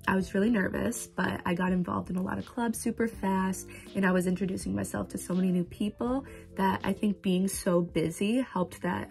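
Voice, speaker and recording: Young woman from Chicago, fry. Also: mid-range voice. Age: young adult. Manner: hesitant starts with "um". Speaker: college freshman. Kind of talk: casual testimonial.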